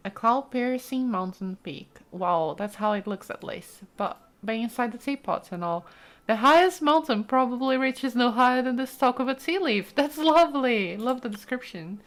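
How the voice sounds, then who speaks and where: A woman giving casual, chatty let's play commentary with an enthusiastic, cooing manner. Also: on the high side. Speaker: gaming video host. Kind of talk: casual let's play commentary, reading game text aloud.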